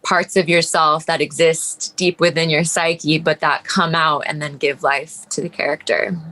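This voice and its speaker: Lower-pitched woman, thoughtful and hesitant. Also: flat delivery, measured pace, sharp tone. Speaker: actor on a panel. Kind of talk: reflective panel conversation.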